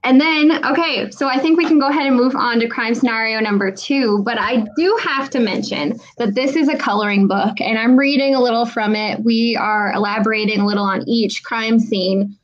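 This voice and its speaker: Woman host, fairly high voice, upbeat, muffled lo-fi recording. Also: normal speed, hardly any pauses. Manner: upbeat, reads out viewer questions, laughs easily. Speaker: host, moderator. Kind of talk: live online Q&A moderation.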